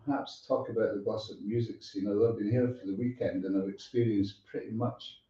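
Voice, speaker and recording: A man's voice: lowish voice, steady tone, fairly quick, warm tone. A man hosting a casual live interview chat in an easygoing, rambling way. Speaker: show host. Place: indoors at a musician's home. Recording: roomy, distant mic, dull sound.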